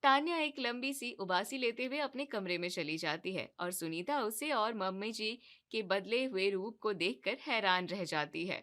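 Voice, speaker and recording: A woman narrating a scripted cartoon moral story in a clear, even storytelling manner. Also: medium pitch, moderate pace, few pauses.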